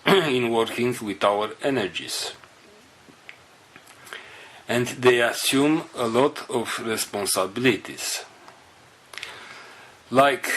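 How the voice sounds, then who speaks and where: A man with a Romanian first language gives an unscripted spiritual talk to his group. His voice is husky and sits a little low. He speaks in short, halting fragments at a very unhurried pace. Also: a bit bright, poor audio.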